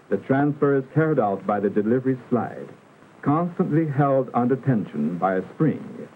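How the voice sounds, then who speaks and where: Lively male narrator on tinny vintage film soundtrack. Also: lowish voice. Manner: formal, didactic, measured enunciation. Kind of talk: scripted educational narration, 1960s industrial film. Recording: muffled vintage film soundtrack, narrow band, hiss.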